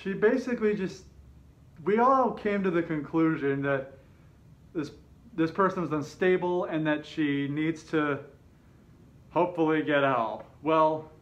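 Higher-voiced man, slow halting storyteller. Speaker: vlogger telling a personal story. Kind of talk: casual rambling storytelling to camera. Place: open living room with kitchen at home. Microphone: camera mic a short way off, speaker inside a fursuit head. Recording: dull, slightly muffled home camera audio.

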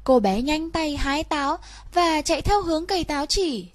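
A woman's voice: high voice, lively intonation, moderate pace, dry recording. A woman storyteller narrating a children's fairy tale from a script.

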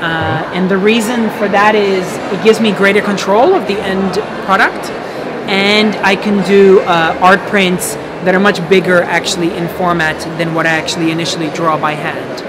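A man's voice: high voice, steady tone, normal speed. A man in his thirties, born in Kazakhstan, talking casually and earnestly about his art, with many fillers and restarts, filmed close up in a busy indoor fair hall. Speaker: artist. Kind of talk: casual interview. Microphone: handheld camera mic close up. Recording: loud crowd noise of a fair.

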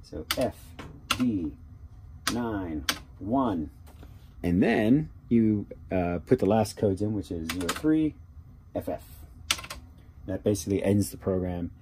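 A man giving a casual how-to demonstration as a hobbyist, talking haltingly and thinking aloud. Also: lowish voice, expressive. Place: small cluttered workshop indoors. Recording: noticeable background noise with clicking keys.

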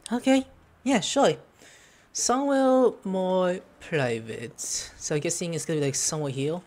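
A young man doing casual game commentary on a close desk mic, reacting and thinking out loud. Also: high voice, a little slow. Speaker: gameplay streamer. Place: small room at home. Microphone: close desk microphone. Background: game music.

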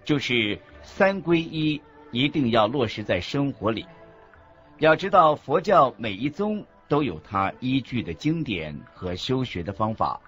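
A man reading scripted religious teaching as a narrator, in a deliberate, instructive manner. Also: mid-range voice, expressive, a bit bright. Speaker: narrator of a Buddhist teaching radio program. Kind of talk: scripted didactic narration in a radio program. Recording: muffled lo-fi audio with music underneath. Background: background music.

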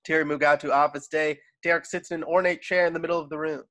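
Man narrating, slightly high voice. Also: flat delivery, fast talker, fairly bright tone. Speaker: narrator of a table read. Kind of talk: reading screenplay stage directions aloud. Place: at home on a video call.

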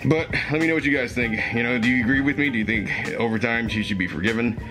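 A young man with a voice going hoarse, speaking earnestly and haltingly with fillers and restarts, recorded close on a webcam in a small room at home. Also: medium pitch, music in the background, poor audio. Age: young adult. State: losing his voice, hoarse. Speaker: commentary YouTuber. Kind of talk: reading aloud and commenting.

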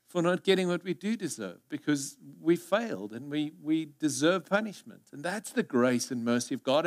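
South African pastor with a high voice. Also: male voice. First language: Afrikaans. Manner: earnest, gesturing broadly, swings from loud emphasis to hushed. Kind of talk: sermon. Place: church stage indoors. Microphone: headset mic.

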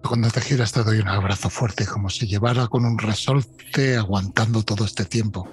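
Deep voiced guy player, low-key, faint noise behind. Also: steady tone, moderate pace. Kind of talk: in-character roleplay.

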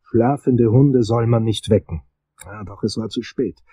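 A world-weary investigator tells his crime story in a low, dark, husky voice. The delivery is dry, brooding and wry, as in a radio thriller, recorded close and dry. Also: a little slow, dry recording. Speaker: narrator and lead actor. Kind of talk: first-person crime audio drama narration.

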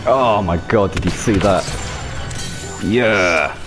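A man with a very deep voice presents a gaming video. He talks informally and jokes around in casual commentary, with game music clearly behind him. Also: takes it slowly. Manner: informal, jokey, mildly sweary. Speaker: gaming YouTuber, host.

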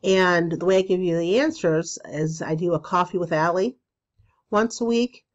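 A woman in her forties with a stuffy cold, hosting a casual spiritual podcast from a small room, chatty and full of ums and pauses. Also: fairly low pitch, dull audio. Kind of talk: casual solo podcast talk.

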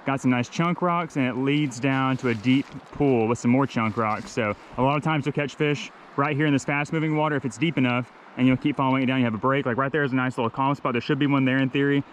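A man's voice: mid-range voice, fast, hardly any pauses, slight background noise. A man filming himself wading a creek, chatting casually and explaining where the fish should be. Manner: excitable, explains the spot while fishing. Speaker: fishing vlogger. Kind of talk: casual outdoor fishing commentary. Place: outdoors wading a mountain creek.

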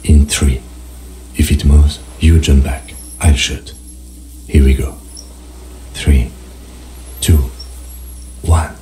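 A man playing a calm, restrained police lieutenant in a video game, quiet and matter-of-fact. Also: extremely low pitch, extremely slow, pauses often, a little hiss or hum, full character voice. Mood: tense, careful. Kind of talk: video game dialogue acting.

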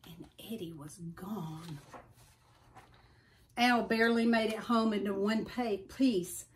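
A middle-aged woman reading a children's story aloud with deliberate, expressive emphasis. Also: fairly high pitch. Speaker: storybook reader. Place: small furnished room at home.

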